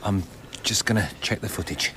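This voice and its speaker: An actor playing a nervous, sceptical film crew member, talking colloquially in a naturalistic audio drama. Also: male voice, fairly low pitch, moderate pace, noisy background, lightly acted. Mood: uneasy, sceptical. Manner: edgy, colloquial.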